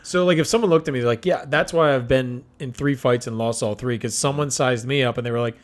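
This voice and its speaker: A man's voice: mid-range voice, fairly quick. A man who does stand-up, chatting as a podcast guest and making dry, self-deprecating jokes. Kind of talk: casual comedic chat.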